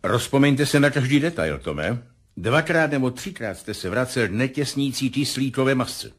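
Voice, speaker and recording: An actor playing a sardonic, middle-aged military colonel in a radio drama, formal, commanding and pointed. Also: male voice, mid-range voice, lively intonation, breathy edge. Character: domineering, sardonic military colonel running an interrogation. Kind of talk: 1970s radio drama acting.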